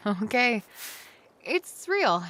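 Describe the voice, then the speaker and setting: A woman's voice: fairly high pitch, sing-song, extremely slow, a bit bright, no echo, heavily acted. An actress playing an amazed, curious young woman visiting a sci-fi city in an audio drama. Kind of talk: full-cast audio drama acting.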